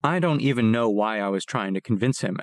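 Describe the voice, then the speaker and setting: A man reading a first-person audiobook in a steady, plain, measured way. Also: fairly low pitch, no echo, clean studio sound. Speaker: audiobook narrator.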